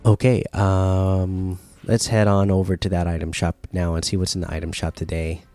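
A man with a fading voice hosts a casual solo gaming podcast. His tone is low and mellow, and he reads item after item evenly with frequent uhs into a close mic. Faint music plays beneath him. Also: normal speed. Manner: reads lists in an even, plain way with frequent uhs. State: losing his voice. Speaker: podcast host.

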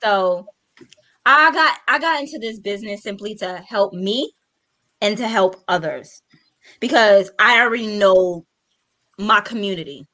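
A woman's voice: mid-range voice, lively intonation, unhurried, long pauses, pushed and bright. A woman of thirty-eight co-hosting a call-in talk show, joking and emphatic in casual chat. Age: 30s. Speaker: radio show co-host.

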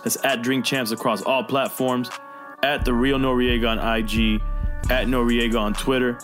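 Host reads the outro credits, steady and plain, mid pitch, faint music bed. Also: male voice, normal speed. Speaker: show host reading credits. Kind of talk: scripted outro read.

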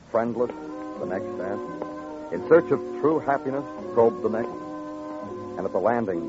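Man playing a low-voiced, terse radio detective. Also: lightly acted. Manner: clipped, dry, literary narration. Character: terse, world-weary male police detective. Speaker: narrator and lead actor. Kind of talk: old-time radio detective drama. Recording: muffled, noisy old radio recording.

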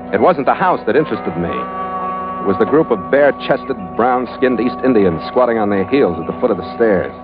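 An actor playing a tough, wry sea captain in the terse, hard-boiled manner of 1940s radio adventure. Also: male voice, lowish voice, natural voice. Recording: tinny old radio recording with music behind.

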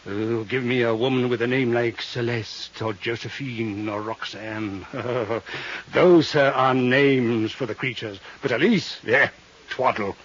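Old radio villain: aged, genteel, greedy, verbose, theatrical; slightly rough tone, muffled fifties broadcast. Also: male voice, medium pitch, fairly even intonation, slow, fairly bright tone. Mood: smugly courtly. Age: older. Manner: florid, theatrical, courtly phrasing with Latin flourishes. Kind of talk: 1950s radio drama acting. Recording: muffled 1950s radio recording.

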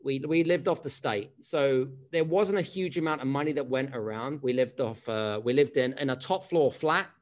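A man's voice: medium pitch, normal speed, hardly any pauses. A man, giving a chatty motivational keynote with many restarts and questions to the audience. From England. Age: 30s. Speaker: keynote speaker.

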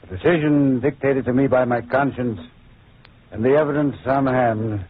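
An actor playing a self-important, corrupt judge with a formal, pompous manner in an old-time radio drama. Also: male voice, medium pitch, fairly even intonation, normal speed, breathy edge, close to an everyday voice. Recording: tinny, narrow old radio recording.

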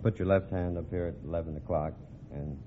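A man's voice: deep voice, fairly even intonation, fairly quick. A man giving earnest answers as a contestant on an old radio quiz. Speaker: driving instructor, quiz show contestant. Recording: tinny old radio recording, faint noise.

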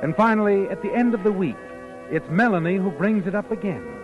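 A man's voice: sits high, expressive, fairly quick. A man playing a sly, all-knowing narrator in 1940s radio suspense style, needling a schemer in the second person with knowing questions. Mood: sly, taunting. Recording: muffled old radio broadcast, music behind.

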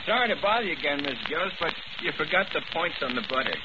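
A courteous, chatty milkman acted by a man from an old 1940s radio play. His voice is high and quick, with a folksy politeness, heard through a noisy broadcast recording. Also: animated, highs cut off, natural voice. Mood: polite, apologetic. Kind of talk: 1940s radio drama acting.

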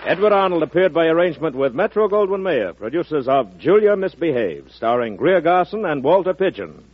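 Swooping, higher-voiced radio announcer man. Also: very animated, fairly quick. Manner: formal. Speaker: radio announcer reading closing credits. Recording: muffled old radio, music behind.

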